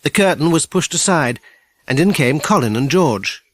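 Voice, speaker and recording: A woman's voice: deep voice, lively intonation, normal speed, no echo, clean studio sound. A woman with a British accent reading a children's story as a scripted audiobook narrator. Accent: British English.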